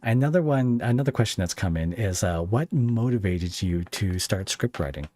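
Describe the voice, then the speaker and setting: A man's voice: on the low side, animated, normal speed, darkish tone. A man hosting a podcast in a casual interview style, asking questions in a chatty, rambling way with jokey asides.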